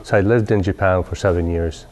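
Deep-voiced man, relaxed rambling talk. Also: a little fast, slight background noise. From Southern California. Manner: relaxed, rambling with many fillers. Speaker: company president. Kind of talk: casual interview. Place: large workshop garage, indoors.

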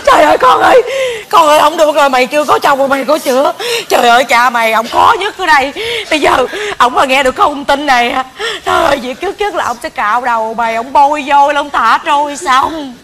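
A woman plays a theatrical, fretting mother with a Southern Vietnamese accent who wails her complaints aloud. Her voice sits fairly high for a woman. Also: fast, few pauses, fairly bright tone. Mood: wailing. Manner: wailing, exaggerated lamenting. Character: panicky, wailing middle-aged mother who calls herself the village's old devil. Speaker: comic stage actor. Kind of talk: comedy sketch acting.

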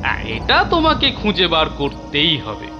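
Woman doing a caring crane friend in a kids cartoon, lowish animated voice, music behind, bit muffled. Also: moderate pace. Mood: concerned advice. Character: concerned, helpful crane friend. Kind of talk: children's cartoon voice acting.

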